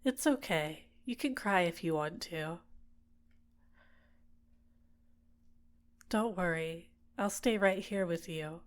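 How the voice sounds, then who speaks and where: A woman performs a manipulative villainess for an ASMR roleplay. Her voice sits on the low side, soft and deliberate, soothing her captive with possessive tenderness and gentle coaxing. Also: lightly acted. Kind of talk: ASMR roleplay, intimate personal attention.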